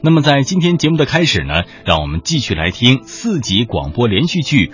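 A man's voice: mid-range voice, sing-song, fairly quick, a bit bright. A man working as a radio host, giving a formal scripted broadcast introduction. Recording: dull lo-fi radio broadcast with music.

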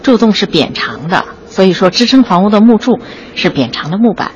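A woman's voice: medium pitch, lively intonation, brisk, dull audio. A woman giving an unscripted guided tour, explaining things step by step as she points them out. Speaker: museum guide. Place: on location at an exhibition site. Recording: clearly noisy on-site ambience.

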